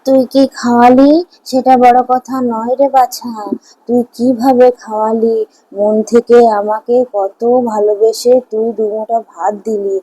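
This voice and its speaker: A woman's voice: a little high, level tone, measured pace, mellow. A woman voicing a frail, poor old villager in a cartoon fairy tale, with a plaintive, motherly manner. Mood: tender, concerned. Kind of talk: Bengali animated fairy-tale dialogue.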